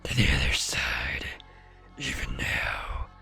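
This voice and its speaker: A man voicing an ancient, proud fox spirit in a breathy whisper, speaking solemnly and slowly over music. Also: extremely low pitch, sing-song, bright, forceful tone, pitched below the performer's own voice, full character voice. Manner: slow, solemn speechifying.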